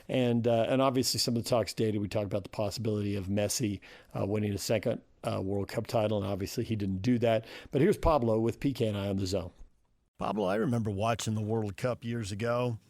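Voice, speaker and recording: A man's voice: lowish voice, steady tone, quick pace, a little mellow, dry recording, clean studio sound. A man hosting a sports radio show, giving unscripted commentary on games.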